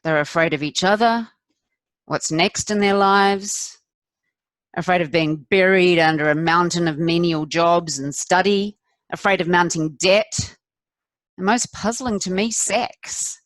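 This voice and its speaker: A woman performing a confessional stage monologue as a candid, rueful middle-aged wife, wry and self-deprecating, with deliberate emphatic pauses. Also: fairly low pitch, no echo, close to an everyday voice. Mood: bemused, earnest.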